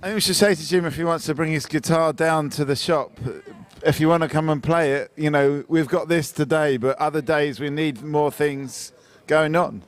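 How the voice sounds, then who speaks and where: A man with a fairly high voice speaks in an encouraging, friendly way. His talk is casual and easygoing. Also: steady tone, moderate pace. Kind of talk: casual chat.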